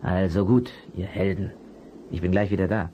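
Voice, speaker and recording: An actor playing a calm, clever private detective in a children's radio play, explaining things patiently and in measured steps. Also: male voice, sits low, background noise, close to an everyday voice. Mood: calm, reassuring.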